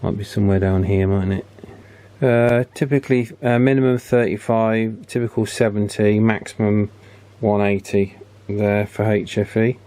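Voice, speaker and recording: A British man with a lowish voice talks through hands-on repair work as a hobbyist presenter. His delivery is casual and matter-of-fact, as if musing aloud while he works. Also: fairly even intonation, normal speed, dark tone.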